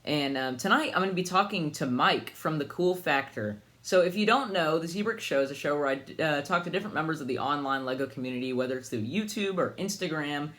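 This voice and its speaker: Young male podcast host, slightly high voice, casual and friendly, some fillers. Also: fairly quick, few pauses, pushed and bright. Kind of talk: casual interview hosting.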